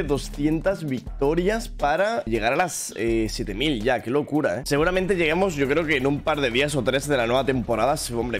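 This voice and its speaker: A young man from Spain with a Spain Spanish accent, casually commentating his own gameplay with a lingering cough, speaking into a boom mic at home. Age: young adult. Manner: upbeat, chatty, addresses viewers directly. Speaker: gaming youtuber. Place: home gaming room with shelves of figures. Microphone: studio mic on boom arm, headset on.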